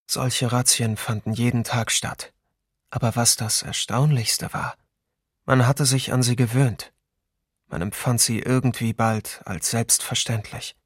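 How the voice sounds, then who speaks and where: An actor playing a wry, reflective survivor of occupation, musing aloud in a documentary radio drama. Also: male voice, medium pitch, steady tone, a little slow, breathy edge, no room sound, studio quality. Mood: bitter musing.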